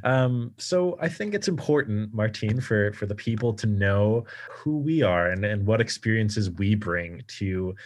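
A man's voice: on the low side, expressive. A man in his twenties co-hosting a podcast, reading a scripted intro and then talking casually with many fillers and restarts. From United States. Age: 20s. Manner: halting, many fillers and restarts in free talk. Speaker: podcast co-host.

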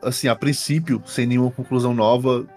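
A Brazilian man with a mid-pitched voice narrates a roleplaying session as game master. His delivery is improvised, informal and slangy. Faint instrumental music plays behind him. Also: fairly even intonation, a little fast, a little mellow. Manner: informal, uses slang and swearing.

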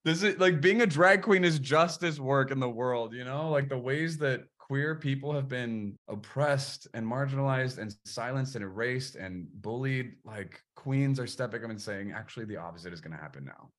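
Man host, mid pitch; earnest, impassioned, builds like a sermon. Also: moderate pace, few pauses, a bit bright. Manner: earnest, impassioned, preacher-like phrasing. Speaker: podcast host. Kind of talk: casual interview chat.

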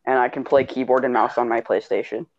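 A man chatting loosely on a podcast while he plays a game. His talk comes in quick, rambling bursts full of jokes. His dull, lo-fi mic sits close, with a dry sound and no room behind it. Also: mid-range voice, flat delivery, no room sound. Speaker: podcast co-host. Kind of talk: casual banter while gaming.

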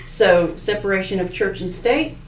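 A woman's voice: medium pitch, moderate pace. A woman from rural Missouri hosting a casual interview, folksy and self-deprecating, asking short questions. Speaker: podcast host. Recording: echoey room, mic far off, faint noise.

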